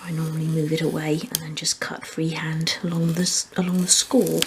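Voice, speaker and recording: A woman's voice: sits low, little pitch movement, brisk, noisy background. A woman presenting a hands-on craft tutorial, explaining each step methodically. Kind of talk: hands-on step-by-step instructional demonstration.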